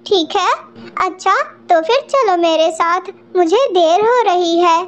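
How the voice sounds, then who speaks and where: A woman's voice: very high-pitched, takes it slowly. A woman voicing an honest, cautious little female bird in a cartoon, matter-of-fact in manner. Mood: hesitant. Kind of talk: Hindi cartoon story dubbing.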